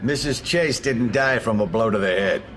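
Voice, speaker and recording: A man's voice: on the low side, normal speed, lightly acted. A man playing a brusque, impatient police detective in an audio drama, curt and dismissive. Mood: matter-of-fact.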